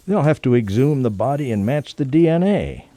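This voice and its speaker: A man's voice: mid-range voice, big pitch swings, normal speed, warm tone, close to an everyday voice. An actor playing an easygoing, teasing sidekick to a policeman in a radio play, with an informal delivery. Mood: questioning, matter-of-fact.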